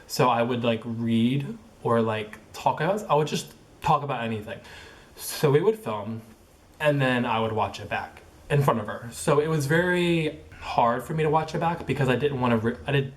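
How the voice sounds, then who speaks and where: Young man who stutters, halting and filler-heavy. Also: mid-range voice. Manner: stutters with repeated sounds and blocks; filler-heavy, halting phrasing with many likes and ums. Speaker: vlogger. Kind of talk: casual personal vlog monologue.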